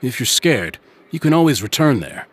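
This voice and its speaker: A courteous, wealthy middle-aged uncle from a video game, speaks with theatrical, protective warmth of manner. His mid-pitched voice moves expressively up and down over faint music. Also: sing-song, normal speed. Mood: grave, protective.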